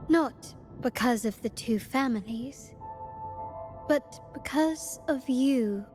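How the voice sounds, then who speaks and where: A woman playing an enigmatic, elegant young woman in a video game, speaking in a measured, formal and cryptic manner. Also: high-pitched, over music. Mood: composed, knowing. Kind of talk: scripted video game cutscene dialogue.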